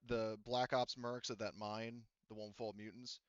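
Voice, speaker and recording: Male GM, low smooth voice, casual and rambling, dull lo-fi mic. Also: little pitch movement, normal speed. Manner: casual, lots of fillers and restarts. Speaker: game master. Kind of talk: narrating a tabletop game.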